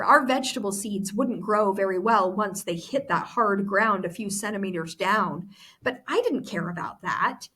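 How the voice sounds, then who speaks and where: A woman's voice: mid-range voice, a bit bright, husky. A woman teacher reading a story aloud expressively, with explanatory asides.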